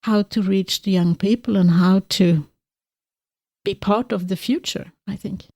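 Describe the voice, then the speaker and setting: A woman's voice: mid-range voice, steady tone, a little slow, darkish tone, dry recording, clean studio sound. A woman with a Swedish accent, a podcast host speaking earnestly and reflectively in an interview conversation. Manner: earnest, reflective, frequent restarts.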